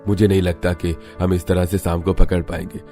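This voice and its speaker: A man voicing an earnest, agreeable villager in an animated cartoon story. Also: low-pitched, fairly quick, faint music behind, close to an everyday voice.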